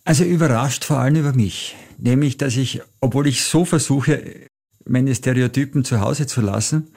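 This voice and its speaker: A middle-aged man with an Austrian German accent, a travel author telling anecdotes in a reflective, measured way as an interview guest. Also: mid-range voice, creaky, darkish tone. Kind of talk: interview answers, storytelling.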